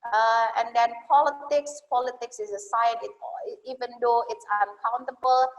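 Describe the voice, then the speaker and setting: A woman's voice: fairly high pitch, steady tone, normal speed, big-room sound, dull audio. A woman from Indonesia teaching English grammar in a webinar, explaining patiently with frequent fillers and self-corrections.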